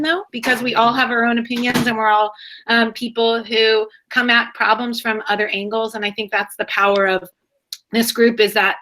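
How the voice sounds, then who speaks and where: A woman's voice: a little high, pushed and bright, a little hiss or hum, low-quality recording. A woman speaking earnestly and reflectively as a webinar panelist. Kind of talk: casual video call chat. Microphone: video call.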